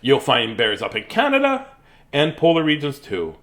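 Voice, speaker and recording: Man voicing furry marsupial, storybook character, peeved, indignant, emphatic, rough mock-Australian accent. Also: fairly high pitch, huge pitch swings, normal speed, a bit bright. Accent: rough imitation Australian. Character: peeved furry male Australian marsupial protesting he's no bear.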